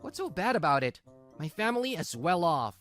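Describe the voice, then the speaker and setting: A woman's voice: on the low side, very animated, brisk, fairly bright tone. A woman playing a callous, spoiled, dismissive young husband in a manga drama dub. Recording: music behind the voice.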